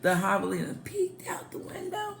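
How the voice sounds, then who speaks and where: A woman reading a picture book aloud as a storyteller, expressive and prompting young listeners. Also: medium pitch, normal speed, vocal fry. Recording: echoey room with faint background noise.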